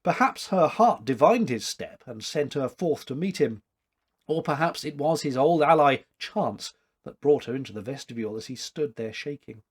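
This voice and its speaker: A man in his fifties reading a literary story aloud with expressive narration. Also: mid-range voice, moderate pace, slightly rough. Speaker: story reader. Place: small domestic room indoors.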